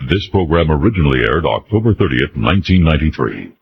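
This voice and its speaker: Super deep announcer guy, gravelly, swoopy scripted read, lo-fi hissy radio. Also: very animated, fast. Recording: dull, noisy radio broadcast.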